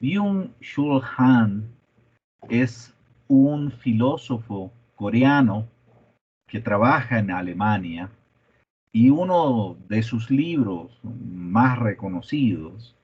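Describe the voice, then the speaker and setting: A man who is a native Spanish speaker giving a guest economics lecture in a measured way, with hesitant fillers. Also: medium pitch, lively intonation, husky, lo-fi sound. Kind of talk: lecture presentation.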